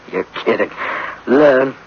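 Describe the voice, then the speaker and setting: A man's voice: mid-range voice, drawn-out words, husky, heavily acted. A man playing a disgruntled, skeptical veteran homicide cop in a radio drama, sardonic and slangy, on a muffled old recording. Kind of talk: 1960s-style radio drama acting.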